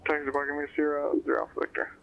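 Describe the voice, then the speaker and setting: A man's voice: on the high side, completely flat delivery, racing, creaky. A man speaking as a pilot in clipped standard radio phraseology over an aviation radio. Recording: noisy, muffled aviation radio transmission.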